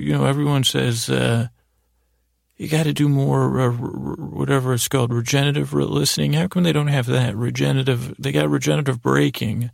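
A man with a gravelly, creaky voice hosting a podcast in a rambling, improvised bedtime monologue, halting, repeating himself and trailing off. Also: mid-range voice, no echo, studio quality. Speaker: podcast host.

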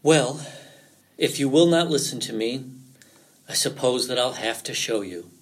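A man voicing a sly male fox in an animal folktale, feigning worry with theatrical, drawn-out pleas and warnings. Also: high-pitched, big pitch swings, pitched above the performer's own voice. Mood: reluctant.

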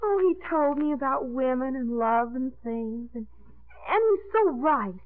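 A high, girlish voice a naive teenage daughter in love, gushing and earnest in a 1950s radio play. The sound is narrow and thin, like an old broadcast. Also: animated, measured pace. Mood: gushing, lovestruck. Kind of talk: 1950s radio comedy-drama acting. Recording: muffled narrow-band 1950s radio recording.

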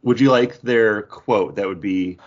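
A man's voice: on the low side, measured pace. A man hosting a casual trivia quiz, chatting and reading out questions on a lo-fi online call. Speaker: podcast host running a trivia quiz. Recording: dull lo-fi voice call.

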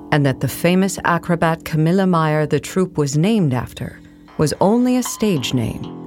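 A woman's voice: low-pitched, darkish tone. A woman narrating an audio play in a scripted documentary style.

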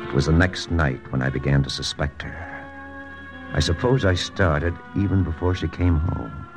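A deep, gravelly spy officer. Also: male voice, fairly even intonation, moderate pace, music in the background. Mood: brooding. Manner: terse, brooding, clipped. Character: hard-boiled American spy officer narrating. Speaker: narrator and lead character. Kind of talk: 1940s radio acting.

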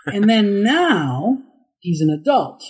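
A middle-aged man with a high tenor voice, a guest voice actor telling chatty anecdotes in an interview, laughing often and acting out the quotes. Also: very animated, slow pace, breathy edge. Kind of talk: casual interview storytelling.

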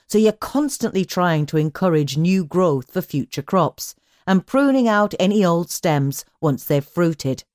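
A British woman reading magazine articles aloud as scripted narration, with measured phrasing and clearly marked headings. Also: on the low side, a little mellow, no room sound, clean studio sound. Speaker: magazine audio edition reader.

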